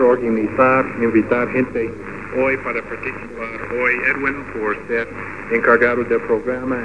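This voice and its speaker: Male pastor, hesitant Spanish, middle pitch, dull noisy recording. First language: English. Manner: halting Spanish with restarts. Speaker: radio pastor. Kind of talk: church ceremony speech. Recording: muffled with background noise.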